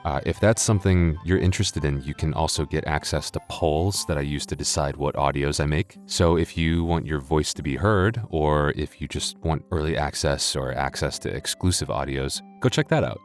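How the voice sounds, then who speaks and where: A man playing a goofy, laid-back otherworldly computer being who hosts an audio channel, chatting casually in a sheepish, halting, jokey way. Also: rumbling low, faint music behind. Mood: friendly, casual, then goofy, distracted. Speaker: audio creator addressing listeners. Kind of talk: casual in-character outro chat.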